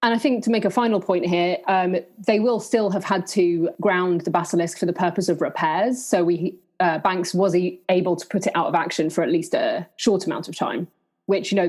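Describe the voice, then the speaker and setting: A woman playing a tactful, measured spy colleague at a debriefing in an improvised audio roleplay. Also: on the low side, steady tone, a little mellow, natural voice. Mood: measured, diplomatic. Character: tactful female spy defending teammates at debriefing.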